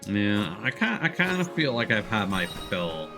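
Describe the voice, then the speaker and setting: A man's voice: on the low side, sing-song, a little slow. A man giving casual, rambling video game commentary as a live streamer, hesitant and stumbling over his words. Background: game music.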